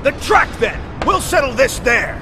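A man playing a tough, hot-headed young echidna in a video game, blunt and combative, with a gravelly voice. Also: very high voice, big pitch swings, moderate pace, heavily acted. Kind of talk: video game cutscene acting. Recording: game music behind voice.

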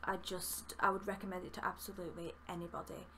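A woman's voice: fairly low pitch, level tone, fast talker, sharp tone, slight background noise. A young woman with a Yorkshire accent, chatting enthusiastically while reviewing a book at her desk, with plenty of fillers. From Yorkshire, England. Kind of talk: casual book review vlog. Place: small room with bookshelves, indoors.

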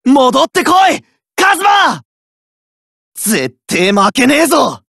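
An actor voicing a fiery, determined teenage boy card fighter who shouts his game battle calls in rough boyish speech, recorded in a dry studio. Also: very high voice, huge pitch swings, takes it slowly, no echo, full character voice. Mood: battle cries.

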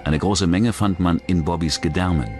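A man narrating a scripted true-crime documentary in a measured, matter-of-fact manner. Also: low-pitched, darkish tone, soft background music.

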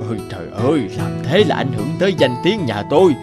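A man's voice: medium pitch, big pitch swings, fast, over music, natural voice. An actor playing a proud, prejudiced middle-aged chicken farmer in a cartoon folktale, self-important and given to hesitating as he haggles. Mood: worried.

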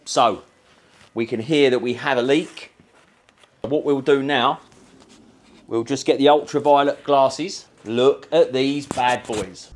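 A British man presents a casual how-to as a working mechanic. His voice sits at an average male pitch, and he explains things step by step in colloquial, matey language. He is recorded a few steps from the camera in a garage, with clear background noise. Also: a little mellow. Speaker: car mechanic and video presenter. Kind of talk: hands-on how-to demonstration, casual. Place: cluttered car repair garage, indoors.

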